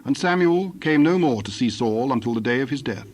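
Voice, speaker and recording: Male Bible narrator, mid-range voice, solemn and measured, faint background noise. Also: medium pitch, lively intonation. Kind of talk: scripted scripture narration.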